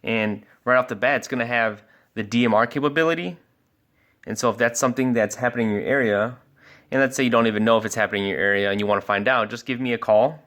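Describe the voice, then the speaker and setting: A man's voice: medium pitch, fairly bright tone. A man giving a casual product review and walkthrough as a sales expert, explaining things informally step by step.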